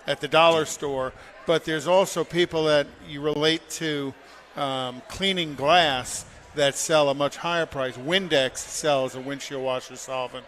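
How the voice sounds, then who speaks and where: Higher-voiced man explaining car care. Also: fairly even intonation, unhurried, few pauses, fairly bright tone, slight background noise. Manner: plain-spoken, instructive. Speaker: car care segment expert. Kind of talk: informal explaining.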